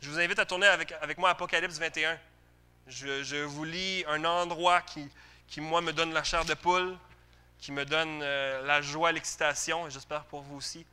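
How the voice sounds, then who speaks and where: A man's voice: fairly high pitch, fairly even intonation, moderate pace, bright, forceful tone, smooth. A man with a Quebec French accent preaching a sermon to a congregation in an emphatic but conversational way, often putting questions to his listeners.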